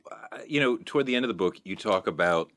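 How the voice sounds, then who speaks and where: Lively-pitched male podcast host. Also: quick pace, no room sound. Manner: chatty, playful, teasing. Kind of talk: casual interview chat.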